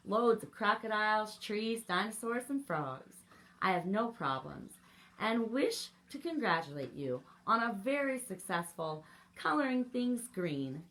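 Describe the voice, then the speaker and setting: A woman voicing a polite, contented green crayon in a children's storybook, speaking in a measured, formal manner. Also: mid-range voice, fairly bright tone, rough recording. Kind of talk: storybook read-aloud for children.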